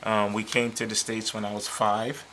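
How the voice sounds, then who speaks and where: A man in his fifties, born in Liberia, a chef talking casually and a little haltingly as a TV interview guest. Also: lowish voice, slight background noise. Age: 50s. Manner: relaxed, hesitant with many ums, laughs easily. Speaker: chef and business owner, guest. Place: local TV studio.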